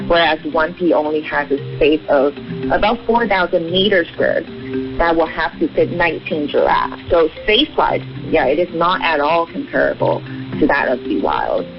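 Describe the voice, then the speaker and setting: A man's voice: a little high, expressive, normal speed, gravelly. A man explaining things informally in a radio interview, in the manner of an advocate. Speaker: animal welfare advocate being interviewed. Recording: muffled narrowband shortwave radio, music behind. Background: music.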